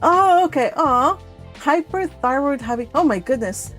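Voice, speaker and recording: A woman's voice: high voice, expressive, measured pace, a bit bright, faint music behind. A woman hosting a read-along stream, chatting casually and rambling with frequent laughter. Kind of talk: casual chat with participants.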